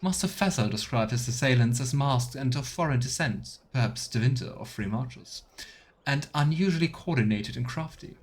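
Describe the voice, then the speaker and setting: A man's voice: mid-range voice, a bit bright. A man doing a let's play, reading quest text aloud and making casual asides as a game streamer.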